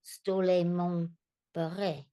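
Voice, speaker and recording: A woman's voice: on the low side, flat delivery, takes it slowly, dark tone. A woman in an improv scene playing a glum toy missing her beret, sighing and dropping French words. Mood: mopey. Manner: mopey, sprinkles French words.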